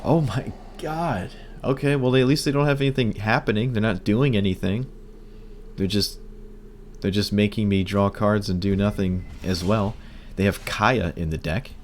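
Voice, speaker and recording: A man streaming a card game, talking through his plays in casual commentary, hoarse and losing his voice, wry and muttering at bad luck. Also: fairly low pitch, moderate pace, slight background noise. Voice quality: breaking, cracking voice.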